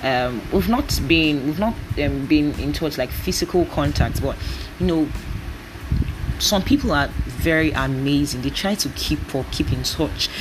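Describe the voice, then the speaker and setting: Young Nigerian woman, early twenties, solo podcast host; chatty, rambling delivery on a noisy home recording. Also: deep voice. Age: 20s. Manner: chatty, rambling, often laughing. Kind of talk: casual solo podcast chat.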